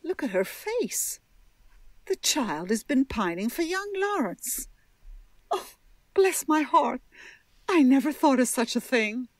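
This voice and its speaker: A woman's voice: high-pitched, big pitch swings, slow, long pauses, no echo, exaggerated voice. An actress playing a fussy, exclamatory older society lady in a period audio drama. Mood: astonished.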